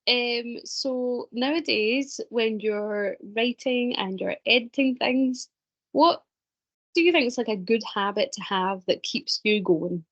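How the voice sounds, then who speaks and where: A woman's voice: fairly high pitch, slow, fairly bright tone, muffled recording. A woman co-hosting a podcast, asking a question hesitantly and searching for words. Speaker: podcast co-host.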